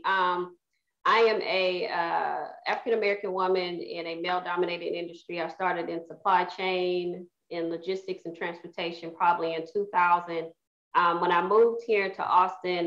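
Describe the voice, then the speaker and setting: Middle-aged female moderator, lowish pitch, earnest and measured; muffled, echoey video call. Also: a bit bright. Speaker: panel moderator. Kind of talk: personal account on a virtual panel. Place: bright home room with window. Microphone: computer mic on video call.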